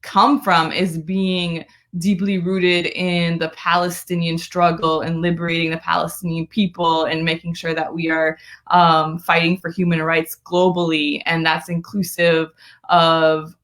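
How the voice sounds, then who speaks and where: American female activist guest, lowish voice, conversational, earnest, emphatic delivery. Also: flat delivery, measured pace, a bit bright. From United States, born in Brooklyn, living in Florida. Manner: earnest, emphatic, often seeking agreement with "right?". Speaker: guest, community activist and political strategist. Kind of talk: conversational interview on a live stream.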